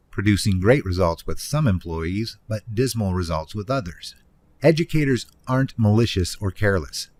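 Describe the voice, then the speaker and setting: A man reading a nonfiction audiobook in an instructive, emphatic way, stressing key phrases deliberately. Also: lowish voice, darkish tone. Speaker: author narrating own audiobook. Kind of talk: scripted nonfiction audiobook narration.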